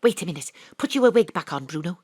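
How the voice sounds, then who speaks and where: A woman narrating as a private detective in a radio play, with a brisk, wry, deadpan manner. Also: fairly low pitch, husky, dry recording, clean studio sound. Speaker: narrator and detective Astrid Price. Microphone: home studio mic.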